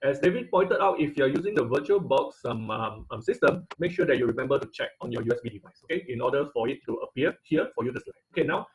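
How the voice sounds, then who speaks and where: Man, instructor, video call, explanatory, halting with fillers, mid pitch. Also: animated, rough recording. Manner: explanatory, many fillers and restarts. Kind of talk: online class tutorial. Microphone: computer mic on video call.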